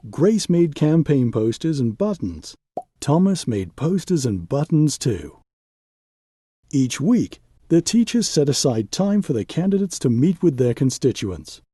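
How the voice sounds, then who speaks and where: A man reading a children's picture book aloud as an expressive storyteller, with measured, dramatic phrasing and pauses for emphasis. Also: a little high, breathy edge, no room sound, clean studio sound.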